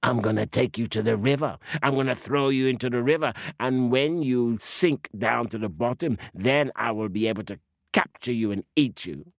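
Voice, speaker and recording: A man voicing a hungry, scheming male coyote trickster in a lower, slow, sly voice in a storybook tale. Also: gravelly, no room sound, natural voice.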